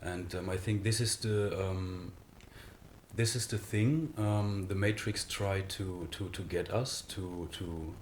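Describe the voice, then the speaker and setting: A man from Germany with a German accent, speaking English as a second language as an interview guest, halting with frequent pauses and fillers. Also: sits low, steady tone, slight background noise. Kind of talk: conversational interview.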